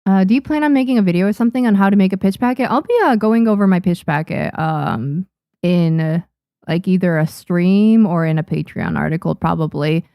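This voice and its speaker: A young woman, Japanese her first language, runs a relaxed live stream from her home studio, chatting with viewers and restarting her sentences often. Her voice sits low and carries a gravelly edge. The sound is dry and close. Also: a little fast, no echo. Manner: chatty, reads and answers chat, frequent restarts and fillers. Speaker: streamer and manga artist.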